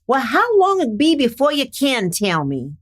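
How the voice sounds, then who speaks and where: A woman plays a spirited frontier rancher in a radio Western, pitched high with lively swings. She is impatient and plain-spoken, with a folksy American way of talking. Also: unhurried, exaggerated voice. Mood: exasperated. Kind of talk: old-time Western radio drama acting.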